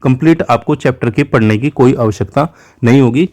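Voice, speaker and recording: A man's voice: medium pitch, fairly quick, a little mellow. A man with an Indian accent giving an informal exam coaching lecture, instructive and repetitive, often checking in with short tags. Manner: Hindi mixed with English terms. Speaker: exam-prep teacher.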